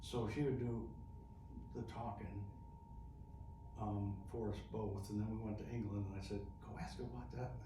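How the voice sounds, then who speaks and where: A man's voice: lowish voice, flat delivery, normal speed, pauses often. Middle-aged man, chatty veteran interviewee, laughs through his stories, miked from afar in a noisy room. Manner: chatty, laughs often, restarts mid-phrase. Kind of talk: casual storytelling interview. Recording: echoey room, distant mic, noisy.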